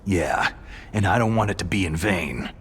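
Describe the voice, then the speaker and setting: An actor voicing a gruff, world-weary special-ops soldier hero in a video game, terse and deadpan with a gravelly voice. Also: male voice, sits low, moderate pace, a little hiss or hum. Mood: solemn, quiet. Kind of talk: dramatic video game voice acting. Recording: music behind the voice.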